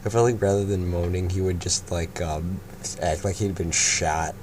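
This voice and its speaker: A man's voice: sits low, fairly even intonation, normal speed, a little mellow. A young man narrating an amateur fanfiction reading, stumbling over words, swearing and chatting casually between passages. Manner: stumbling, swearing, laughs at own slips. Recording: clear background noise.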